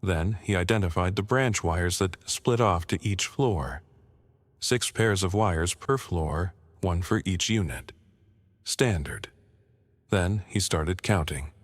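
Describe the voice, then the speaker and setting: A man reading a scripted first-person horror story as narrator, in a measured, matter-of-fact way with short clipped sentences. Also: deep voice, lively intonation.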